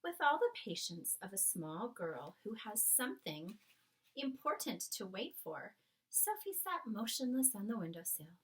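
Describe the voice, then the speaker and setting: A woman reading a storybook aloud as narrator, with dramatic, measured phrasing. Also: mid-range voice, sing-song, rough recording.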